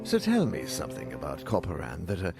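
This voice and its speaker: A man voicing a formal noble lord of a frontier town in a video game, with a measured, probing manner. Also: sits low, wildly swooping pitch, creaky, music in the background, full character voice. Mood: grave, courteous. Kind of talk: video game cutscene acting.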